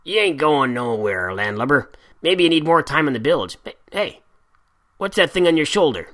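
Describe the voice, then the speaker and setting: Rowdy pirate crewmen who jeer and mock in shrill, raised voices, as a male narrator puts them on. A storybook reading with rough, teasing energy. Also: very animated, moderate pace. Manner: jeering, high and loud.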